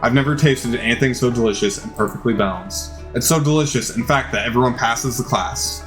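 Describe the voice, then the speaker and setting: A man's voice: mid-range voice, fairly quick, fairly bright tone, natural voice. A man voicing an exuberant dog who is a chef and DJ, with a showy announcer's delivery in a game. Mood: amazed. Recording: music behind the voice.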